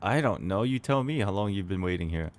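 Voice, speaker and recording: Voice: deep voice, expressive, fairly quick, very noisy. A streamer giving casual, playful commentary on a game playthrough, improvising along with the story.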